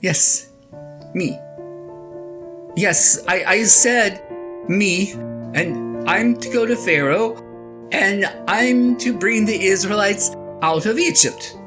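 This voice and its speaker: A woman playing a sheepish, excitable eighty-year-old shepherd husband in an audio drama monologue, stammering and halting as he talks to his wife. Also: mid-range voice, music in the background, close to an everyday voice. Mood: excited, nervous. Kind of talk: audio drama monologue, one side of a conversation.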